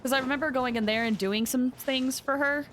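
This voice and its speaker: A woman game streamer chatting casually with her viewers in an excitable, chatty manner. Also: sits high, a little fast, a little hiss or hum, poor audio. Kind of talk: casual livestream chat with viewers.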